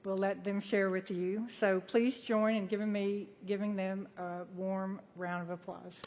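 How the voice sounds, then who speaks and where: A woman's voice: mid-range voice, level tone, measured pace, darkish tone. Woman giving a speech in a reverberant hall. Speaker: airport authority board representative. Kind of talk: formal welcome speech at an announcement event. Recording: echoey hall, amplified event speech.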